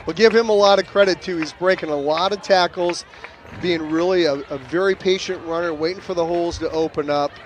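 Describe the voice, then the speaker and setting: A man doing play-by-play on a high school football broadcast, mixing live calls with casual, jovial banter. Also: fairly quick. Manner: jovial, jokey, excitable on big plays. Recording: clear outdoor stadium ambience noise. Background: outdoor game ambience.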